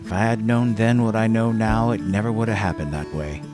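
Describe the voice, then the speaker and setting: A man playing a gruff but loyal old fishing buddy in an audio drama, with blunt, folksy delivery. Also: fairly low pitch, fairly even intonation, fairly quick, music in the background, close to an everyday voice. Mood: regretful.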